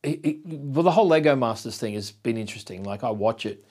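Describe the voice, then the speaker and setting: A middle-aged man hosting an interview, chatting in a friendly, rambling way with frequent halting restarts. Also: mid-range voice, expressive, darkish tone. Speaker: interview host. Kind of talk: casual interview conversation.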